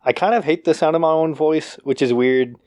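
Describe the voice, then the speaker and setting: A man's voice: a little high, a little fast, darkish tone, dry recording, studio quality. A young man co-hosting a podcast in casual chat, rambling with lots of fillers and restarts. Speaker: podcast co-host.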